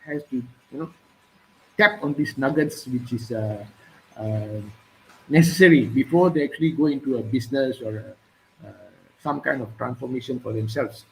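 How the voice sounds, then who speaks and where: A man hosting a casual conversation, speaking hesitantly with many pauses and fillers. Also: mid-range voice, gravelly, a bit bright, slight background noise, low-quality recording.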